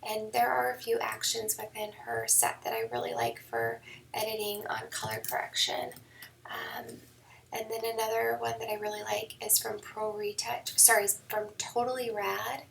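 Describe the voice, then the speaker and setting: A woman photographer giving a relaxed editing tutorial, hoarse and half whispering because her voice is going, with many ums. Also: on the high side, moderate pace, distant mic. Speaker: newborn photographer. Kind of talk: screen-recorded editing tutorial. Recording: mic set back, faint background noise.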